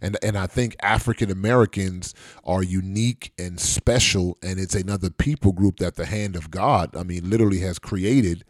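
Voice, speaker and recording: A man's voice: low voice, moderate pace, no room sound, clean studio sound. A middle-aged man hosting a podcast in casual chat, easygoing and rambling, with many fillers and restarts. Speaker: podcast host.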